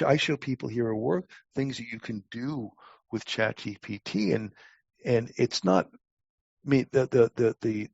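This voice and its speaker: A man in his sixties speaking casually as an expert guest in an interview, halting but opinionated and plain-spoken. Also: mid-range voice, gravelly, a little mellow, husky. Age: 60s. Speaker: guest expert, talent acquisition advisor. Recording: muffled lo-fi, roomy sound.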